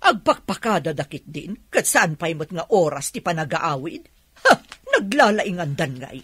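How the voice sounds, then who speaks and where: Husky, scolding Ilocano lady boss. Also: low-pitched, very animated, normal speed, a bit bright, no echo, heavily acted. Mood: irritated, dismissive. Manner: scolding, sarcastic. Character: stern, stingy, heartless female boss. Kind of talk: radio drama acting.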